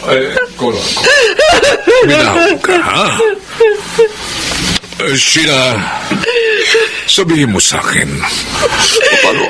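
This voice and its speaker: A man's voice: fairly high pitch, wildly swooping pitch, slow, creaky, pauses often, heavily acted. Middle-aged village official and anguished father, wildly varied intonation. Filipino radio drama, heavy background noise. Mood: shocked, dismayed.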